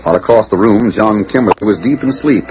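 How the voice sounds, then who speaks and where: A man's voice: fairly low pitch, fast talker, heavy background noise. A man narrating a 1940s radio western in a dramatic, suspenseful storytelling manner. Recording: dull vintage radio broadcast recording.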